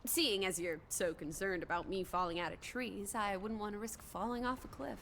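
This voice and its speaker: A woman's voice: medium pitch, steady tone, moderate pace, fairly bright tone, slight background noise, natural voice. An actress playing a bold, teasing young woman with a blunt, morbidly curious, old-fashioned way of talking in a video game. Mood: insistent, sarcastic.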